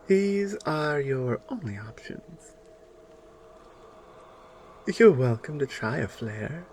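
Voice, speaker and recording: A woman playing a proud, stern Amazonian warrior in an ASMR audio roleplay, speaking to a prisoner in a measured, commanding way with deliberate pauses. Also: rumbling low, a little mellow, slight background noise, exaggerated voice. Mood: cool, threatening.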